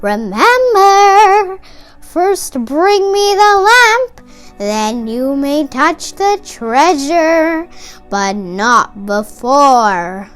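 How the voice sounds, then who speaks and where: A storyteller voicing a scheming old male villain from a children's storybook, commanding and drawn-out. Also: sits high, very animated, a bit bright, full character voice. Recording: music behind the voice.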